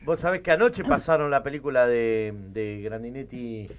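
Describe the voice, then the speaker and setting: Informal Argentine man, medium-pitched co-host. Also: normal speed, clean tone. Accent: Argentine Spanish. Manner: informal, short repeated interjections. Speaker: radio co-host. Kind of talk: casual chat.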